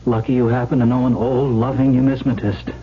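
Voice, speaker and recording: An actor playing a devoted, determined man in a radio drama, speaking with earnest, gently coaxing reassurance. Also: mid-range voice, level tone, a little fast, natural voice. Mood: reassuring, urgent. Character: devoted coin-collector lover, tender, reassuring, determined. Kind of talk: 1970s radio drama acting. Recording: muffled old radio recording, faint noise.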